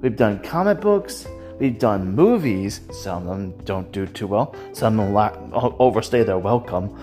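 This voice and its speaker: A podcast host talking casually about opinions, halting and thinking out loud, often trailing off and self-correcting. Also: mid-range voice, lively intonation. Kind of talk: casual rambling opinion talk about games and audio drama. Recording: music playing behind the voice. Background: music.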